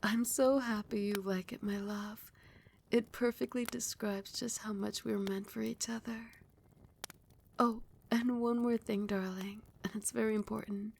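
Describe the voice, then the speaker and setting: A woman voicing a loving, protective vampire queen in an intimate ASMR roleplay, breathy and often sinking into a whisper, soothing and doting. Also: mid-range voice, steady tone, measured pace, a little mellow, slight background noise. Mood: fond, playful. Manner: doting, soothing, affectionate pet names.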